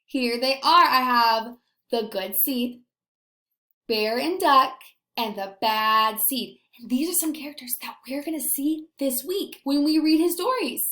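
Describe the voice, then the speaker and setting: A woman teacher giving an expressive read-aloud lesson, asking young children questions and pausing for answers. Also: sits high. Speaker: elementary school teacher. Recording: echoey room.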